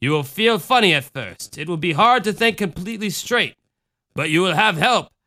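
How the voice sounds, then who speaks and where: A tender, wise mother figure speaks slowly and calmly, every word deliberate, as a man reading a story puts her on. Her voice rides a little above his own and stays bright and clear. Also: high-pitched, voice pushed up, full character voice. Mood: comforting. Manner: slow, calm, deliberate speech. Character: gentle, solemn motherly woman, tender and wise.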